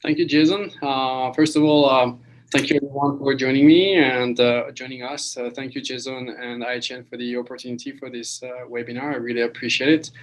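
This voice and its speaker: Man, monotone delivery, halting speech full of fillers, video call lecture. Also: medium pitch, poor audio. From France. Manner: halting, many fillers and restarts. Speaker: guest lecturer. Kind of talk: webinar lecture.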